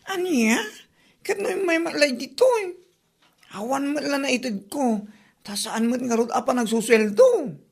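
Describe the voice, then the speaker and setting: A woman's voice: high voice, animated, measured pace, vocal fry, slightly rough, exaggerated voice. A woman playing a brash, gossiping friend, exclaiming with reproach in a comedy radio drama. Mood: surprised, reproachful.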